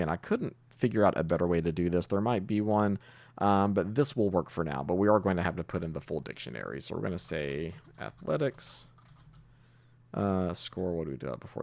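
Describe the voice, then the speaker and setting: Man, tutorial host, very low voice, creaky fry, casual explaining, halting think-aloud delivery. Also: expressive, a little mellow, dry recording. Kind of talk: casual screencast coding tutorial, explaining while typing.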